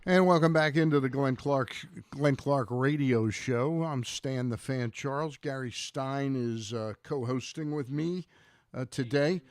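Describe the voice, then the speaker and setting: A man co-hosting a casual sports talk show on radio, speaking haltingly and repeating words as he goes. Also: medium pitch, no room sound. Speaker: radio co-host.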